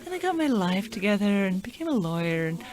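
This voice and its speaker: A woman's voice: mid-range voice, sing-song, a little fast, darkish tone, close to an everyday voice. A woman playing a sweet, fawning, lovestruck heroine, gushing in an old-time radio comedy melodrama. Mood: apologetic.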